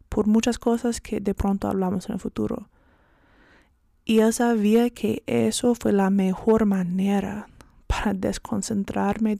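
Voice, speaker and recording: Slow, gravelly-voiced woman host. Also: medium pitch, dark tone, dry recording, clean studio sound. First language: English. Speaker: podcast host. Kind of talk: personal devotional podcast talk.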